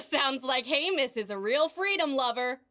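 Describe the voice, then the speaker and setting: A woman's voice: high-pitched, animated, unhurried, bright, forceful tone, heavily acted. A woman playing an upbeat, showy tournament announcer in a video game, hosting with polished, formal delivery. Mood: warm, presenting. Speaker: event announcer. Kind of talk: scripted game dialogue, ceremony emceeing.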